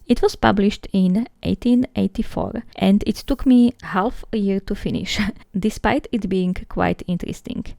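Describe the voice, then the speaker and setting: A woman's voice: medium pitch, creaky, warm tone, dry recording, studio quality. A young woman with a Slovak accent, a native Slovak speaker, chatting casually in voice-over as a book vlogger, laughing often and poking fun at herself.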